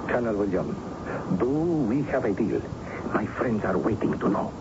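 An actor playing an obliging but wary silversmith in a 1970s radio drama, with a formal, hesitant, deferential manner. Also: male voice, fairly low pitch, animated, moderate pace, close to an everyday voice. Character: obliging, wary Mexican silversmith with shady dealings. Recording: noisy, muffled old radio broadcast.

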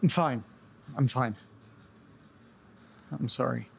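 An actor playing a dazed, anxious, apologetic man in a naturalistic audio drama, speaking haltingly in a husky, creaky voice. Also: medium pitch, exaggerated voice. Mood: flustered, apologetic. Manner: halting, rambling, sighing.

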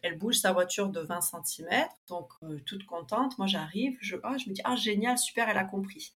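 A woman's voice: medium pitch, a little fast. A woman guest in a casual interview, talking with many fillers and restarts, and livelier when she tells a story. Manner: lively when telling an anecdote.

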